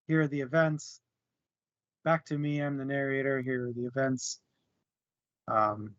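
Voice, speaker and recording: A man giving his opinions on a book in a casual discussion, measured and reflective. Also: medium pitch, no room sound, dull audio.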